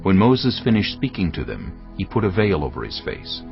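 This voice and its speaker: A very deep male voice narrates scripture dramatically, with lively swings in pitch. The audio is dull and lo-fi. Loud cinematic music plays underneath. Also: normal speed, gravelly.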